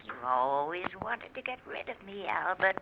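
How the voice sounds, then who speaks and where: A woman's voice: lowish voice, very animated, moderate pace, vocal fry. Vengeful ghostly mother, muffled and swooping. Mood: eerie, menacing. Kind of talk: 1940s radio horror acting. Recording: muffled, noisy old radio recording.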